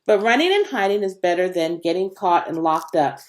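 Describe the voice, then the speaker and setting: A woman's voice: lowish voice, sing-song, brisk. A woman voicing a laid-back, folksy, wisecracking boy in a children's storybook reading. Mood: weary, soft.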